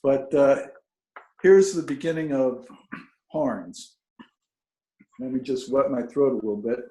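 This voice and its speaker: A man, an author, speaking informally and haltingly to an online reading over a video call. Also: mid-range voice, warm tone. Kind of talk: informal spoken introduction and reading of own fiction. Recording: roomy, echoing video call audio.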